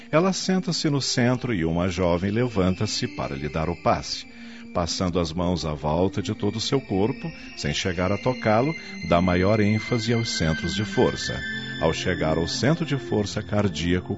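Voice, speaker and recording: Animated low-voiced male radio drama narrator. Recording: dull lo-fi audio with music.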